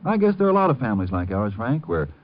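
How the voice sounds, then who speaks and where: Man, radio sponsor announcer, very lively intonation, scripted chatty advertising, dull vintage recording. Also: mid-range voice, quick pace. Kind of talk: 1950s scripted radio ad banter. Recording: muffled old 1950 radio broadcast.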